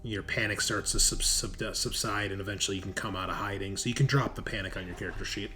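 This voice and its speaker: A man narrating an improvised tabletop game as game master, in a halting, conversational way. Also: lowish voice, fairly even intonation, pushed and bright. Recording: clearly noisy background.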